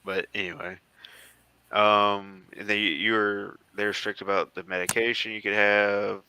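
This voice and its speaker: An American man in his thirties chatting casually as he talks through old photos, halting and rambling as he goes. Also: fairly low pitch, flat delivery, bright, forceful tone, slight background noise, rough recording. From southeastern United States. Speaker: guest, former Marine air traffic controller.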